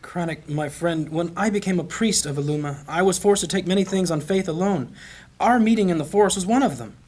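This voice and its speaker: Husky, high-voiced gentle priest. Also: male voice. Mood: solemn. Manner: measured, compassionate, solemn. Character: gentle, devout priest, wise and questioning. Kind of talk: fantasy audio drama acting.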